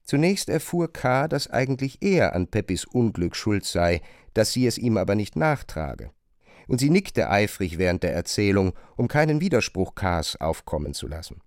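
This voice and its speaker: A man narrating a literary radio play from a script, in measured, carefully phrased long sentences on a close studio microphone. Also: lowish voice, very animated, darkish tone, no room sound.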